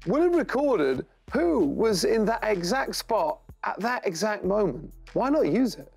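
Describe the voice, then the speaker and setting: A man's voice: high-pitched, big pitch swings, measured pace, gravelly, darkish tone, breathy edge, soft background music. Wry, jokey man co-hosting the news. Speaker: co-host. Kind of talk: casual news chat.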